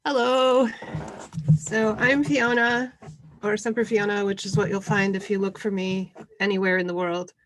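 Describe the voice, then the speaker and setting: A woman co-hosting an online session, introducing things in an informal, slightly halting way. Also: mid-range voice, fairly even intonation, slight background noise. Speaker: co-host presenting an online convention session.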